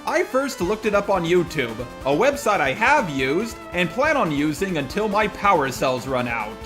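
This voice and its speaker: A man hosts a scripted video commentary in a fairly high voice. His pitch moves around in an animated way, with faint music underneath. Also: normal speed. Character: persona of a robot. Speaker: host.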